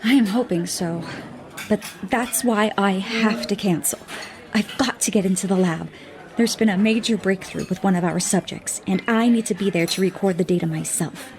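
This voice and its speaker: An actress playing a brisk, wry woman scientist in an audio drama, wordy and dryly sarcastic. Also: mid-range voice, crowd noise, lightly acted. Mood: apologetic, earnest.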